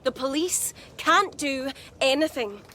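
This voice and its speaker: A woman's voice: sits high, expressive, takes it slowly, background noise. An actress playing a grieving young woman making an impassioned speech at a rally in a radio drama. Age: young adult.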